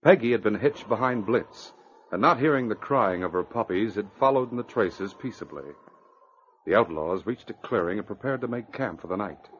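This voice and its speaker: A man's voice: on the low side, expressive, normal speed, a bit bright. A man narrating an adventure serial in an earnest, suspenseful, declamatory old radio style. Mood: grave suspense. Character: earnest male storyteller of a northern adventure serial. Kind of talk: dramatic 1940s-50s radio narration. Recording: dull, hissy vintage radio recording.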